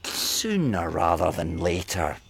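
A man's voice: low-pitched, sing-song, slow pace, gravelly, a bit bright, slight background noise, exaggerated voice. An actor playing a remorseful, self-mocking man who pleads with his wife, swinging from wry jokes to anguish in a radio play. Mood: evasive.

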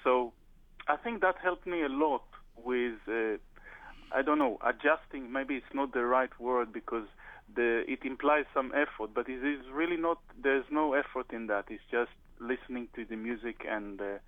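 A man's voice: medium pitch, normal speed, dry recording. A man from Israel talks over a tinny, narrow phone line, his voice dry and close. He speaks hesitantly, with many "uh"s and restarts. He is a touring drummer answering interview questions.

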